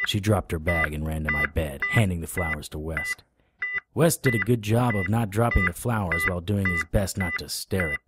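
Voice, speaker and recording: A man's voice: sits low, natural voice. An actor playing a wry, sarcastic hard-boiled private investigator who narrates his own noir audio drama. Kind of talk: noir radio drama with first-person narration.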